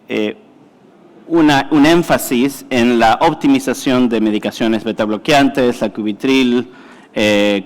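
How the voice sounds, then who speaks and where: Brief: male presenter, halting delivery, echoing lecture hall. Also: mid-range voice. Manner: halting, many fillers and self-corrections. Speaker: conference speaker, cardiologist. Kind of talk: medical conference talk. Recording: reverberant hall.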